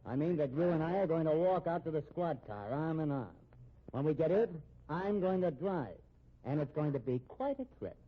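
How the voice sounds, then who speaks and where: A man acting in a 1950s radio drama as a cornered fugitive gunman, sardonic and menacing, with a husky voice. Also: a little high, lively intonation, moderate pace. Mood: taunting, commanding. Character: cornered fugitive gunman, cool, taunting, protective of sister. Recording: muffled old radio recording.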